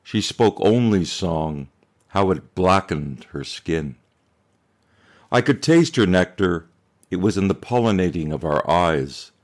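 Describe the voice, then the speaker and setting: A man with a very low voice reads poetry at an unhurried, slow pace. His delivery is solemn and measured, each phrase set apart. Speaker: poet. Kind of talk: poetry recitation.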